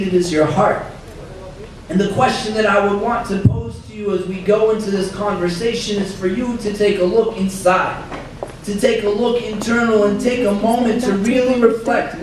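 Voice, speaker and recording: A man giving a reflective religious talk as an imam, hoarse from illness, quoting Arabic scripture in a measured way. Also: high voice. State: hoarse, losing his voice. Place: banquet hall. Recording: roomy far-off hall recording with faint noise.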